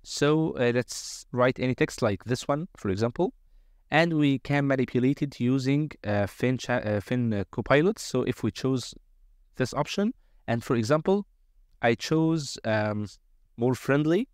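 Male tutorial presenter, mid-pitched, semi-improvised step-by-step walkthrough, close and dry voice-over. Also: lively intonation, measured pace, clean tone, no room sound.

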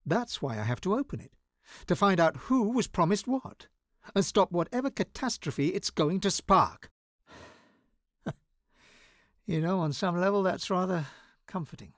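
A wry bookish wizard, highly animated. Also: male voice, unhurried, pauses often, no room sound, studio quality. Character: bookish wizard, wry, anxious, guarded scholar of devils. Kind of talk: video game voice lines.

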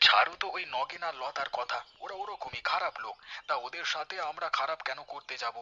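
A man's voice: on the high side, lively intonation, a little fast, bright, forceful tone, a little hiss or hum, full character voice. A man playing a cheerful, forgiving village husband in a cartoon, exclaiming and placating. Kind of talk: Bengali cartoon acting. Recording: dull lo-fi track, music behind.

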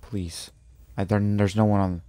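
A man's voice: on the low side, level tone, measured pace, mellow, dry recording. A young man giving casual, offhand live gaming commentary, muttering to himself and swearing. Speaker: game streamer.

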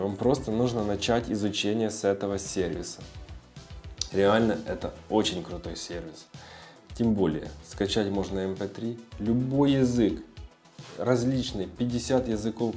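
A man's voice: on the low side, expressive, normal speed, mellow, soft background music. A man in his thirties giving a casual, blunt, informal tutorial as a video blogger. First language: Russian. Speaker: video blogger sharing language-learning tips.

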